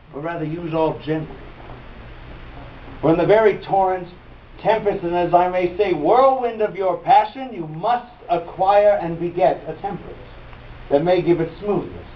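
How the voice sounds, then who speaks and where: A man's voice: high-pitched, measured pace. A man performing an intense Danish prince in a classical stage monologue, declaiming formally and emphatically to a troupe of players. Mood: earnest, instructing. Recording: distant muffled mic, background noise.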